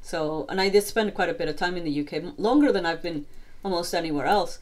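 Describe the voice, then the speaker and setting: Native spanish speaking woman, pretty deep voice, rambles and restarts a lot while chatting. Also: darkish tone. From South America. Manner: rambling, restarts and thoughtful pauses. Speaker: guest. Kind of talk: casual chat.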